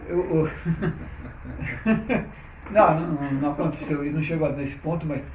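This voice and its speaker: A man's voice: on the high side, measured pace. Man telling stories in a roomy space. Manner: chatty, frequent "né?" tags, restarts. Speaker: interviewee, publisher. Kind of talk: relaxed conversational storytelling. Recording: roomy, distant mic, faint noise.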